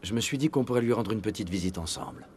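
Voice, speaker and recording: An actor playing a resolute, no-nonsense military commander in a video game, terse and businesslike. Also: male voice, fairly low pitch, fast, a little mellow, a little hiss or hum, close to an everyday voice.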